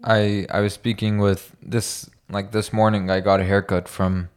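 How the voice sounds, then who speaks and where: A young man chats with a low voice. His talk meanders and he leans on filler words as he thinks aloud. He sounds easygoing and unhurried. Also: smooth. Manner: relaxed, rambling, heavy use of "like". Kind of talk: casual chat.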